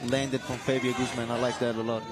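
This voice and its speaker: A man's voice: mid-range voice, little pitch movement, brisk, music in the background. A man from Denmark, a boxer, commenting on a live fight as a guest and often restarting his phrases. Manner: eager, restarts phrases, chatty. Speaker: guest boxing analyst, boxer.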